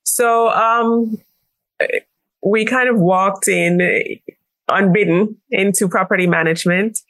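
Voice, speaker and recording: A woman's voice: medium pitch, fairly even intonation, slow pace, a bit bright, no room sound, poor audio. A woman from Jamaica with a Jamaican accent, explaining things conversationally as a business-owner interview guest.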